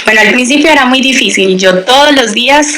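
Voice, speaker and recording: A woman's voice: on the high side, fast talker, noisy background. A young woman, a language teacher giving a radio interview and candidly telling her story. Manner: lively, candid storytelling, acts out quoted dialogue.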